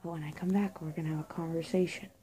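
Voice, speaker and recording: A woman playing a stern, protective mother in a homemade witch roleplay, hushed and curt as she scolds. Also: sits low, fairly even intonation, fast talker, a little hiss or hum, lightly acted.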